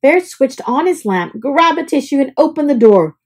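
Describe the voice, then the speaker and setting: A woman reading a children's picture book aloud in an expressive, scripted storytelling style. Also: high voice, moderate pace. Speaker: storybook reader.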